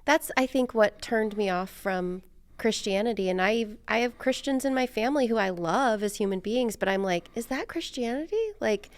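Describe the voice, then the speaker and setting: A woman's voice: on the high side, moderate pace, smooth. A woman hosting a podcast interview, chatting in a casual, informal and candid way. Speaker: podcast host.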